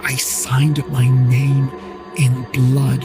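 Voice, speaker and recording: A man's voice: mid-range voice, level tone, extremely slow. A man telling a scripted first-person story as the narrator of an audio drama. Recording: music bed behind voice.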